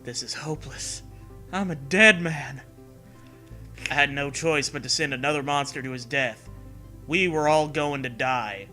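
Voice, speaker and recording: A man's voice: on the high side, a little slow, bright, forceful tone, soft background music. A man reading a scary story as a narrator, with dramatic, earnest delivery. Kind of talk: dramatic scripted narration of a creepypasta.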